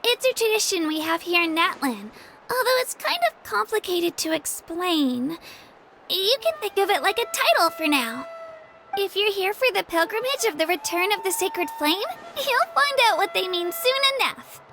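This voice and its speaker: A woman's voice: very high-pitched, sing-song, normal speed, noisy background, full character voice. A woman voicing an earnest, humble young girl warrior in a video game, girlish and bubbly but hesitant. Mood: welcoming, eager. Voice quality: girlish, youthful. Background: background music.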